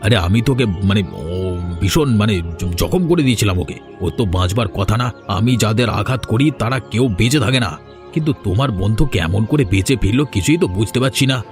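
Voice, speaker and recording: A man's voice: fairly low pitch, expressive, fast, natural voice. A man voicing a boastful, brutal bandit leader in a cartoon, blustering as he talks. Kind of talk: cartoon voice acting. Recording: music behind the voice.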